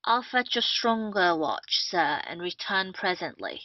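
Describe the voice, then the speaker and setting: Woman doing a vengeful captain in an old comedy, slow n taunting, muffled roomy audio. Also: lowish voice, very animated. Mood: formal, deferential. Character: vengeful gloating male army captain, first posing as constable. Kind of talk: stage comedy in old-fashioned English. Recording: muffled lo-fi roomy home recording.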